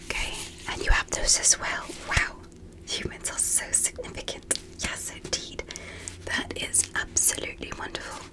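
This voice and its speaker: A woman whispering softly and breathily in an ASMR medical roleplay, speaking gently and deliberately in short measured phrases as a fascinated alien doctor. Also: low-quality recording. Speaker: ASMR performer.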